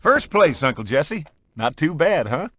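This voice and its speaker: A man's voice: fairly high pitch, sing-song, a little slow, sharp tone, a little hiss or hum, heavily acted. An actor playing a cheeky, cheerful young country boy with a Southern US accent in a video game. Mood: pleased. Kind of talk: game cutscene acting.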